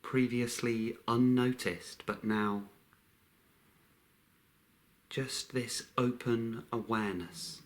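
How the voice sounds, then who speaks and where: A man leading a guided meditation in a calm, unhurried way, with long pauses between short phrases. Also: medium pitch.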